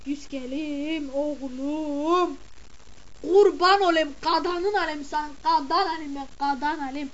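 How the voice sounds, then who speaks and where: A grumbling, gossipy middle-aged village mother, played by a woman, chatters and scolds. Her voice sits extremely high and piercing. The comic monologue comes through a dull, lo-fi recording. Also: takes it slowly. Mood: indignant.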